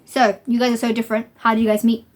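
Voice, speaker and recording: A woman's voice: on the high side, little pitch movement, fast talker. A woman of Somali family asking questions from behind the camera in a casual home Q&A, in a playful, teasing way.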